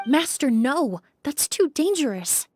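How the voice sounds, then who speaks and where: A woman's voice: sits high, big pitch swings, slow, a little mellow, faint music behind, full character voice. A woman voicing an earnest, concerned young pupil in a video game. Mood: alarmed.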